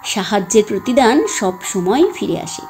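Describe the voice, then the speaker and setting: A woman voicing a brave, clever young village girl in a storybook tale, speaking calmly and steadily. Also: mid-range voice, moderate pace, music in the background, close to an everyday voice. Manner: calm and steady.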